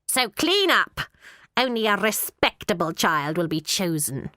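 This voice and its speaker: A woman's voice: mid-range voice, very animated, measured pace, bright, forceful tone, dry recording, studio quality. A woman voicing a cruel, stern older woman who runs an orphanage, with a harsh barking delivery.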